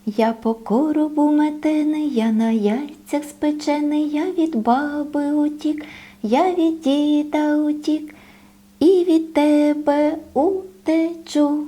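A cheeky little male bread roll from a children's storybook, acted out by a woman. The voice is high and soft-edged, childlike and boastful. It chants in a slow, drawn-out sing-song. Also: steady tone. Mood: boastful chanting.

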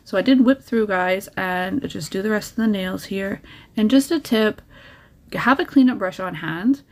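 A woman narrates her nail painting in a relaxed, chatty way, dropping practical tips and encouragement as she goes. Her voice sits at a middle pitch with an easy, conversational flow. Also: normal speed. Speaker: nail art creator. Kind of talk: casual tutorial voice-over.

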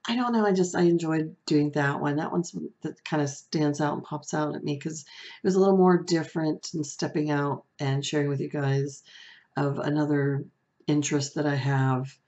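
A middle-aged woman with a soft, comforting voice, vlogging to camera from a room at home, reflective and hesitant, with fillers and restarts. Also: sits low, fairly even intonation, moderate pace. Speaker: beauty vlogger. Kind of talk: casual talk to camera. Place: small room at home, indoors.

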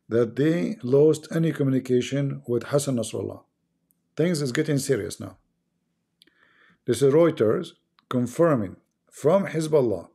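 A man's voice: medium pitch, creaky, dark tone. A man with a Middle Eastern accent hosting a casual live stream, talking bluntly and mocking what he reads. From Middle East.

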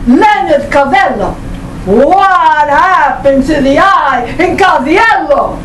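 A woman voicing an indignant, blustering Italian immigrant father with emphatic, drawn-out words, in a dramatic reading. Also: sits high, expressive, voice pushed up. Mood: puzzled, suspicious. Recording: roomy, noisy hall, distant microphone.

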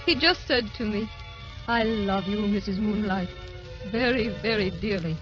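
A woman's voice: mid-range voice, measured pace, fairly bright tone, natural voice. An actress playing a tender, weary, ageless grandmother who speaks wistfully and repeats her phrases, in old radio drama style. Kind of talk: 1930s-40s radio drama acting. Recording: dull old radio recording with music.

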